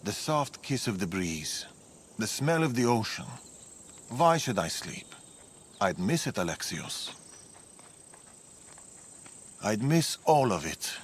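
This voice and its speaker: A man's voice: fairly low pitch, big pitch swings, measured pace, a little hiss or hum. An actor playing a dreamy, fond man in a video game, with a poetic, playful way of savoring words. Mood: wistful. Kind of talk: naturalistic video game cutscene acting.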